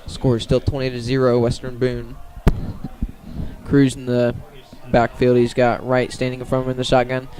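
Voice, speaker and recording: A man doing live radio play-by-play of a high school football game in clipped, descriptive phrases. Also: completely flat delivery, normal speed, a little hiss or hum, poor audio. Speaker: play-by-play sports commentator.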